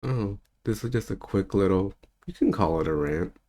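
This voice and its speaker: A man's voice: lowish voice, expressive, moderate pace, mellow. A man in his thirties, a spiritual teacher, talking in a loose, rambling monologue with easy laughs. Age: 30s. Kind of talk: casual unscripted monologue.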